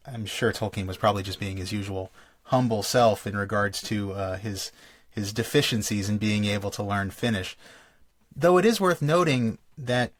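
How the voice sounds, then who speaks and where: A man's voice: on the low side, dry recording, clean studio sound. A man hosting a solo podcast, explaining in a thoughtful, lecture-like way and often restarting his phrases. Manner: thoughtful, reads quotations aloud, frequent restarts. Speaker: podcast host.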